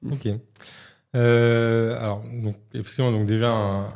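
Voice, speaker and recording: A man's voice: medium pitch, flat delivery, a little slow, a little mellow. A man interviewing company guests, asking long, hesitant questions.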